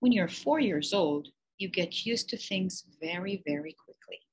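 A teacher reading a children's picture book aloud, slow and expressive with emphatic pauses. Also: female voice, on the low side, breathy edge, lo-fi sound.

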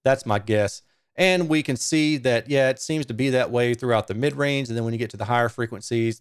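A man in his forties talks viewers through technical material in a conversational, step-by-step way. His mid-pitched voice is captured close on a studio mic in a small room, very dry with little echo. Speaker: host, speaker reviewer. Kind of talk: casual technical explanation to camera. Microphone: close studio microphone.

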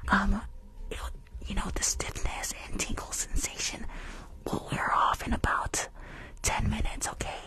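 A young woman playing a rushed, shady dentist in an ASMR roleplay, hurried and bossy, repeating herself in a breathy whisper. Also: medium pitch, natural voice, poor audio. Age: young adult. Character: rushed, shady female dentist stealing patients' teeth. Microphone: close microphone with earphones.

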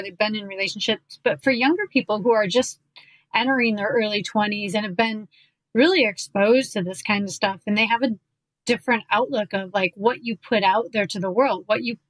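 A woman's voice: medium pitch, fairly bright tone, dry recording, rough recording. A woman hosting a podcast, backing her guest with short replies and asking long, rambling questions. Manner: supportive listener, rambling questions with restarts. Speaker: podcast host. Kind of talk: podcast interview.

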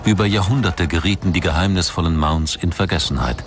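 A man reading scripted documentary narration in a measured, dramatic storytelling manner. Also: low-pitched. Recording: music under the voice. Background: background music.

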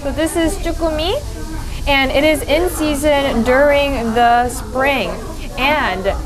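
A woman's voice: high voice, takes it slowly, rough recording. Chatty young woman vlogging over seafood. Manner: chatty and descriptive, gushing while tasting. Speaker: food vlogger. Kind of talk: casual food vlog narration. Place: seafood restaurant by a window, indoors. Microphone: camera held close, selfie framing.

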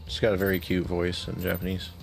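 A man leading a game raid on stream, calmly calling out mechanics and giving instructions. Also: low-pitched, fast talker, vocal fry, faint music behind. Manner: calm, instructive callouts with short laughs.

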